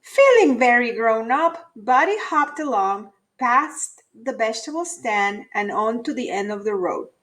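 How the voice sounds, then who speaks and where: A woman's voice: fairly high pitch, lively intonation, unhurried, hardly any pauses, fairly bright tone, poor audio. A woman teacher reading a children's story aloud expressively to young pupils. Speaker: elementary school teacher.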